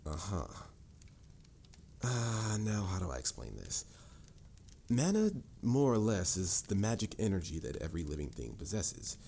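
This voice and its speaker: A stern adult mage , played by a man, speaks in a low, mellow voice with formal, measured phrasing. He lectures the listener with dry exasperation, as a mentor in a fantasy audio roleplay. There is some noise and rumble behind him. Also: wildly swooping pitch, gravelly, exaggerated voice. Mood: instructive.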